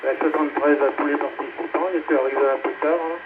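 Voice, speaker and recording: A man's voice: a little high, fairly even intonation, fast. A man chatting casually as an amateur radio operator, plain-spoken in short phrases over a radio relay. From France. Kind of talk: casual chat on a ham radio net. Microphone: two-way radio microphone. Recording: noisy narrowband amateur radio relay transmission.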